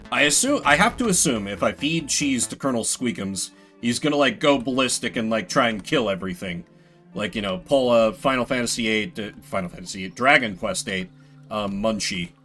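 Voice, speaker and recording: A man streams a video game with casual, rambling commentary. His voice sits at a medium pitch and swings widely as he tosses in playful, mock-grandiose asides. Faint music plays behind him. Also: fairly bright tone. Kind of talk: casual gameplay commentary, voicing game text.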